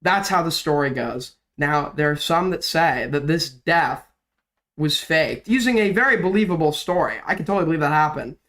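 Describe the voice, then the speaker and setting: A young man with a slightly raised pitch talks through drama commentary on his stream, his throat sore from illness so the voice cracks and he coughs. He rambles, swears freely and leans on fillers, shifting easily between quick and slow talk. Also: animated, a bit bright. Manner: rambling, profane, filler-heavy. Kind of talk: casual livestream commentary.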